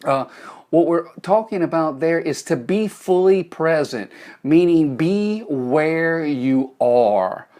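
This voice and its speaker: A man's voice: on the high side, animated, slow, mellow. Folksy Cajun man streaming live. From South Louisiana. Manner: folksy, greets viewers by name, jokes. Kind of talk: live motivational talk to viewers. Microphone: phone.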